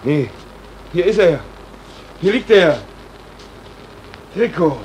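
A man acting a sober, careful ship's stoker in a 1940s German radio play, halting and subdued. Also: high voice, expressive, a little mellow. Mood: hushed concern. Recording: noisy 1940s radio recording.

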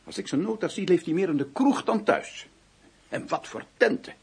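Radio drama doctor: highish, very husky, swooping pitch, stern and censorious. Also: male voice, big pitch swings, normal speed, darkish tone, exaggerated voice. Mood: disapproving. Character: disapproving, moralizing doctor, critical of a colleague.

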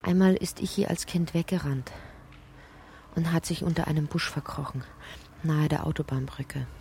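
An actress playing a brooding, introspective narrator of a dreamlike literary radio drama, with a soft, breathy, husky delivery. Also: female voice, low-pitched, slight background noise. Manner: measured, introspective delivery, sometimes sinking into whispers.

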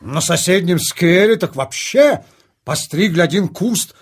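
A man's voice: sits high, expressive, a little slow, breathy edge, exaggerated voice. A man voicing a vain, complaining juniper bush in a children's audio play, proud of its royal blood. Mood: aggrieved. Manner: pompous, complaining.

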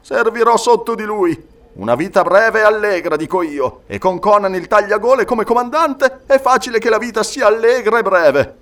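A brash, swaggering mercenary commander, played by a man reading a fantasy story, pitched high. He is big, cynical and blunt, and pushes his words out forcefully. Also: expressive, normal speed, few pauses, far from the mic. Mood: grimly jovial.

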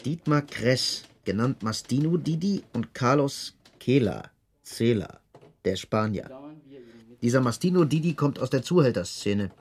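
An actor playing a dutiful police subordinate in a radio drama, reporting in a matter-of-fact, colloquial way. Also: male voice, mid-range voice, lively intonation, measured pace, darkish tone, husky. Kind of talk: German radio drama acting.

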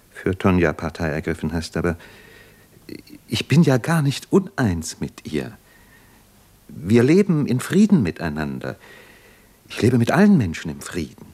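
An actor playing a weary, proud intellectual man in a 1950s German radio drama, speaking deliberately and reflectively. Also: on the low side, a little mellow. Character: weary, proud intellectual man defending his troubled life.

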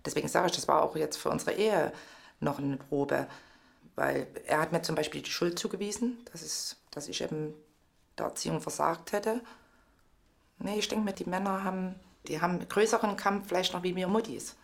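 Female interviewee, middle-aged: colloquial, halting, candid, slightly low register with marked vocal fry. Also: steady tone. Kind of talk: frank personal account.

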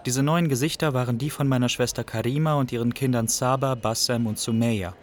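An actor playing an Iraqi refugee who recounts his exile in a measured, matter-of-fact radio drama narration. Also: medium pitch, fairly even intonation, lightly acted. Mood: sober.

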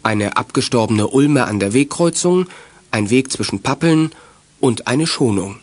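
A man's voice: mid-range voice, expressive, a little slow, slight background noise, natural voice. A man delivering scripted narration for a children's radio adventure play.

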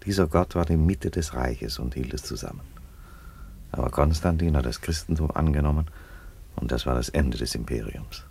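An actor playing a middle-aged Roman emperor in a German radio play, weary and coolly ironic, with a deliberate delivery. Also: male voice, rumbling low, vocal fry, a little mellow, husky, full character voice. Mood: dry, reasoning. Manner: deliberate, unruffled, declarative.

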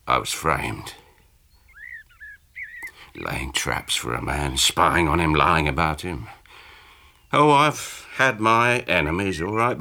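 A man's voice: lowish voice, pushed and bright, heavily acted. An actor playing a defensive, resentful middle-aged British businessman in a radio drama, with a hesitant, bitter manner.

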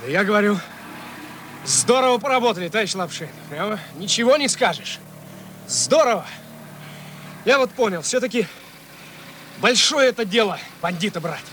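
An excitable young detective in a radio drama. His voice is high and bright, full of naive, boastful eagerness, and it gushes in halting phrases. Engine noise hums faintly behind. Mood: excited, proud. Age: young adult. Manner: gushing, breathlessly enthusiastic, halting. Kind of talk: radio play acting.

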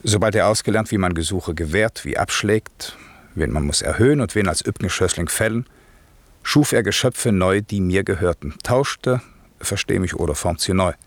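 An actor in a German radio play, playing a grave magician father who declaims in a solemn, measured way. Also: deep voice, no room sound. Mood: grave, bitter. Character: grave, commanding magician father, an exiled duke.

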